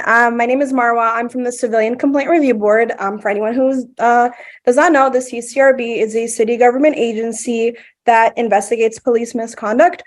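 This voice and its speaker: A young woman from a city agency giving a short, friendly announcement at a public meeting, with frequent ums. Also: fairly high pitch. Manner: friendly, casual, lots of ums. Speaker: government agency representative. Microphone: video call.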